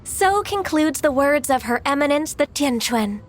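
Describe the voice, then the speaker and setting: A woman's voice: high voice, animated, normal speed, soft background music. An actress playing a formal, ceremonious female government official in a fantasy video game.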